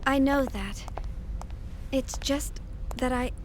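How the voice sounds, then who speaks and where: A woman playing a gentle, distracted young chief engineer in a video game, apologetic and hesitant. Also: high voice, takes it slowly, slight background noise. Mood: troubled. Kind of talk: English game dub acting.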